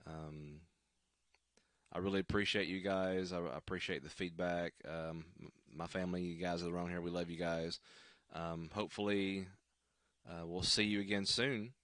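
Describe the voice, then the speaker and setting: A man with a deep baritone voice, worn out and scratchy, chatting haltingly to viewers at the end of a worship livestream from home. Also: fairly even intonation, fairly bright tone, no room sound, studio quality. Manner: halting, many ums and restarts. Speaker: worship singer hosting a livestream. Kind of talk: casual livestream sign-off chat.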